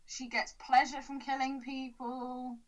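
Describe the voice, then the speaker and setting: A woman with a fairly high voice co-hosting a podcast in casual conversation. She questions things and picks apart the logic point by point, with some casual swearing. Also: little pitch movement, measured pace, low-quality recording. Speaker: podcast co-host. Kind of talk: casual film discussion.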